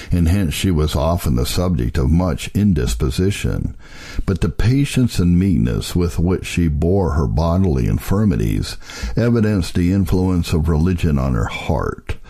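Deep, husky man reading solemnly. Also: warm tone. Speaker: narrator reading historical religious texts. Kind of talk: solemn audiobook-style reading of an old religious text.

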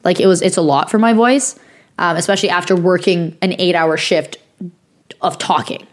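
Hoarse young woman telling a story. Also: lowish voice, normal speed. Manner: rambling storytelling with many fillers. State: hoarse, recovering from lost voice; sniffly from sinus infection. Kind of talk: casual chat.

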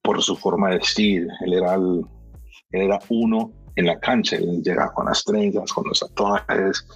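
A man's voice: deep voice, steady tone, normal speed, a bit bright, husky, no room sound, soft background music, low-quality recording. A man chatting casually in a laid-back, slangy way, often hesitating and restarting. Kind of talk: casual chat.

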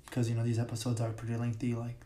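A young man with a raspy voice hosts his own podcast. He rambles casually and keeps correcting himself, using plenty of fillers. His delivery stays flat and mellow, and a large room can be heard around him. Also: mid-range voice, very fast. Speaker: podcast host. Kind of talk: casual solo podcast talk. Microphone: podcast mic.

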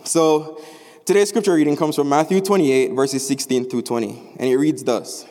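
A young man from Jamaica, a student preacher, giving an animated chapel sermon with rising, emphatic phrases. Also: on the high side, normal speed. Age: young adult. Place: large chapel. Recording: echoey chapel hall.

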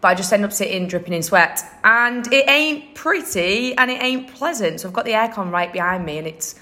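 Chatty upbeat woman, slightly croaky. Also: mid-range voice, expressive, fairly quick, sharp tone. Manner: chatty, upbeat, many fillers and laughs. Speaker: podcast host. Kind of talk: casual solo podcast chat. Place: room with air conditioner running behind.

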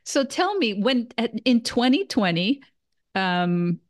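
A woman's voice: fairly high pitch, very animated, slow. A woman hosting an interview podcast who often restarts her sentences and praises her guest freely. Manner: hesitant, with frequent restarts and effusive praise. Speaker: podcast host.

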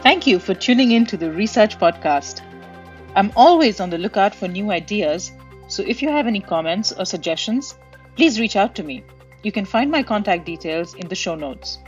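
A woman's voice: mid-range voice, expressive, moderate pace, soft background music. A woman hosting a podcast, chatting casually with many fillers and restarts and laughing easily. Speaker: podcast host. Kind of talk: casual interview chat. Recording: dull lo-fi audio.

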